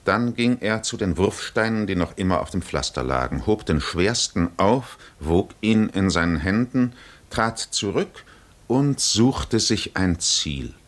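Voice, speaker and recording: Deep-voiced, measured male radio narrator. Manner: measured, deliberate phrasing with pauses. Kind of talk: literary scripted narration in a 1950s German radio drama.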